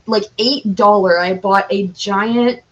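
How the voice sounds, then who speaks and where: Woman, mid-range pitch, casual podcast guest, enthusiastic, giggly, informal. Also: fairly even intonation, slow pace, dull audio. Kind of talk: casual interview chat.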